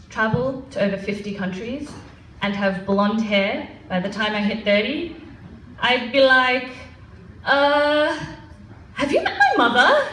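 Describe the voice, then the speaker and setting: A woman in her thirties with an Australian accent, telling personal stories to an audience in an animated way. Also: mid-range voice. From Melbourne, Australia. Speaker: guest speaker. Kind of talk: personal storytelling talk to an audience. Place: event hall with an audience. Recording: echoey hall, distant mic, faint noise.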